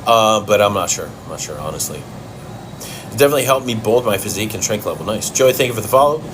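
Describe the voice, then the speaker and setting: A blunt, profane man from Chicago talks in a lowish voice, answering viewers' questions at home between bites of food. An air conditioner hums steadily behind him. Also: animated, fast talker, noisy background. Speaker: fitness livestream host. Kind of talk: casual livestream Q and A.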